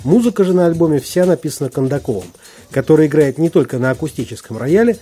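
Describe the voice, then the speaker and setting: Male presenter for scripted album reviews, husky and mellow, faint music underneath. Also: on the high side, expressive, quick pace.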